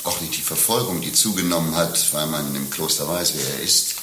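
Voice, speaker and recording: A man's voice: rumbling low, a little fast, bright, forceful tone. A male actor playing a coarse, sardonic rogue in a satirical radio play, talking in a blunt, mocking, colloquial way. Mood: conspiratorial. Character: coarse, sardonic male rogue, grumbling about his lovesick friend. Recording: roomy radio play sound, faint hiss.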